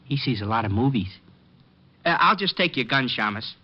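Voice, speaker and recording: An actor playing a casual, mocking American gangster in old-time radio crime drama, slangy and streetwise. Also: male voice, mid-range voice, expressive, moderate pace, dull audio, lightly acted. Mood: nonchalant menace. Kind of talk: 1940s-50s radio crime drama acting.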